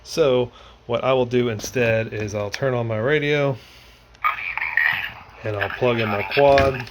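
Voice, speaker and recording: A man's voice: mid-range voice, steady tone, measured pace, very noisy. A man hosting a hands-on tech tutorial, explaining each step informally in casual language. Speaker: tutorial host.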